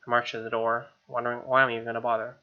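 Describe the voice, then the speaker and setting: A man's voice: mid-range voice, level tone, rapid-fire, phone-quality sound. A man with an American accent reading a visual novel aloud as a gaming host, with casual asides. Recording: game music behind.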